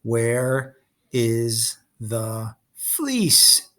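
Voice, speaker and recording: A man's voice: mid-range voice, big pitch swings, drawn-out words, pushed and bright, heavily acted. A man reading a storybook as a menacing, sneering young male villain who taunts and gives orders. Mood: smug, menacing. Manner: taunting, commanding.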